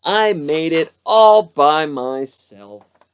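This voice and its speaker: A narrator voicing an excitable, stubborn young boy frog from a children's storybook, exclaiming in short yelps. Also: mid-range voice, extremely slow, smooth. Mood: insistent. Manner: exclamatory, yelping short outbursts.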